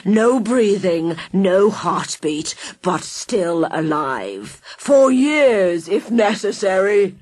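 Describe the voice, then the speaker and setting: A scheming villain mastermind who sneers and lingers over every threat, as a woman reader puts him on. He speaks slowly and deliberately in a dry, close recording. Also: medium pitch, sing-song, a little mellow, no room sound, exaggerated voice. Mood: sneering menace.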